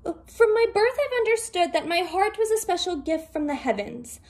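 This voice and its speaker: A woman voicing a small, quick-witted female rabbit in a storybook, earnest, polite and pleading. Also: extremely high pitch, very animated, a little mellow, voice pushed up, exaggerated voice. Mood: composed, earnest.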